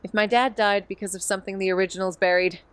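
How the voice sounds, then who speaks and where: A woman's voice: mid-range voice, fairly even intonation, fast, clear, resonant tone, no echo, close to an everyday voice. Amy, a woman playing a wry, restless young sleuth. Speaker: investigator and narrator. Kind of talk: audio drama acting.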